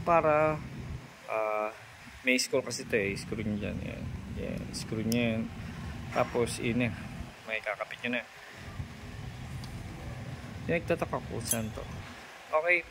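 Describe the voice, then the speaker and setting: A man with a Filipino accent giving a casual, informal unboxing and how-to vlog as a motorcycle gear reviewer, often repeating his phrases. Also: on the low side. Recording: clearly noisy recording with vehicle ambience.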